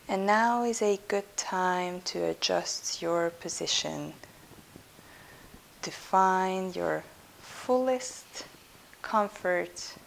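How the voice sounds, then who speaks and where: A woman leading a guided relaxation meditation as a yoga instructor, soothing and soft, breathy at phrase ends, with long pauses between phrases. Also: lowish voice, poor audio. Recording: steady background hiss.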